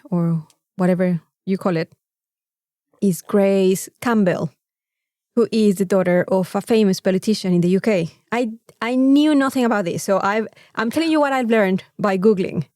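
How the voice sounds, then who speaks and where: A Mexican-accented woman talks casually as a podcast co-host, her voice at an ordinary middle pitch. She rambles informally and swears freely. The sound is dry, with very little room echo. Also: normal speed, mellow. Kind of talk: casual chat.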